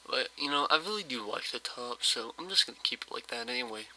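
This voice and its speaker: A man who sounds slightly hoarse from just waking up, giving casual, rambling commentary over his gameplay with lots of hesitations and fillers. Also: medium pitch, lively intonation, fast, pushed and bright, slight background noise, rough recording. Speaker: let's play host.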